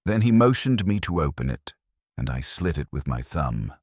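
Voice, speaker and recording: A man reading detective fiction in the first person as a narrator, with wry, measured storytelling. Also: a bass voice, very animated, darkish tone, husky, no room sound, studio quality. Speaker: audiobook narrator.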